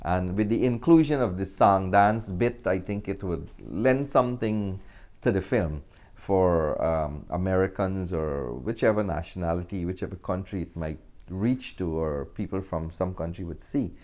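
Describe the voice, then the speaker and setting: A man from Guyana with a Guyanese accent talks casually as an interview guest, often pausing mid-sentence. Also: deep voice, a little mellow. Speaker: interview guest, actor and filmmaker.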